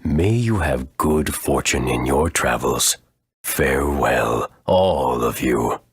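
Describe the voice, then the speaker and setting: An ancient, solemn elder of a creature tribe for a video game. The voice is extremely deep and very husky, with slow, formal, weighty phrasing in a dry recording. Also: male voice, lively intonation, no echo, full character voice. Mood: solemn, grateful. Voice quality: husky, rumbling.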